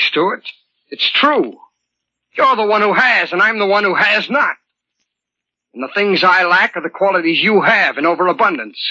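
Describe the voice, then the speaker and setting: A bitter, lovesick twin brother in a 1940s radio thriller. His voice is piercingly tense and husky, brooding with self-pity, on a dull vintage broadcast recording. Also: high-pitched, sharp tone, exaggerated voice. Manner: intense, brooding, self-pitying. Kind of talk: 1940s radio drama acting.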